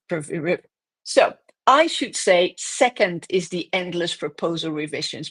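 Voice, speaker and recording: A woman giving direct, emphatic business advice in a solo talk, correcting herself now and then and losing her voice. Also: medium pitch. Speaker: host, business coach.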